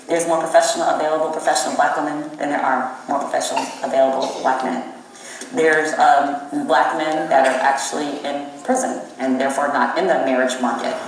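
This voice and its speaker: A woman's voice: low-pitched, steady tone, fairly quick. A woman giving explanatory commentary as in an interview. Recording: echoey playback, distant, faint noise.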